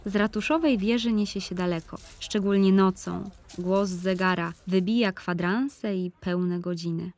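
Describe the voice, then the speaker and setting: A woman's voice: medium pitch, fairly even intonation, measured pace, hardly any pauses, darkish tone. A woman reading scripted literary narration for an audio play, in the manner of a reminiscing storyteller.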